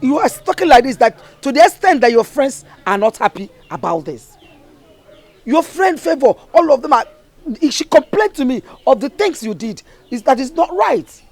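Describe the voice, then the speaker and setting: A woman's voice: lowish voice, big pitch swings, moderate pace, long pauses, dark tone, slightly rough. An actress playing a worried middle-aged mother, lecturing her daughter earnestly. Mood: reproachful.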